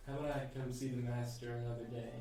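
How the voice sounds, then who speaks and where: A man's voice: mid-range voice, flat delivery, fast talker. A man playing a tabletop role-playing game, improvising questions and casual banter in an echoey room, some way from the microphone. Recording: echoey room, distant from the mic.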